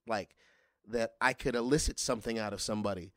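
A middle-aged man, a singer, talking casually in an interview, often restarting his sentences and growing animated when he recalls singing. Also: on the low side, fairly quick, no echo, studio quality. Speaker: guest singer.

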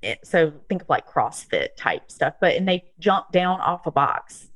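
A woman's voice: lowish voice, very animated, unhurried, a bit bright, dry recording. A woman in her thirties from the southern United States with a Southern accent, talking casually as a podcast guest, chatty, with lots of fillers. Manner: chatty, laughs often, lots of um and like. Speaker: guest, strength coach.

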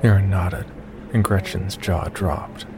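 A man narrating a scripted first-person horror story in a measured storytelling delivery. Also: low-pitched, fairly even intonation, noisy background.

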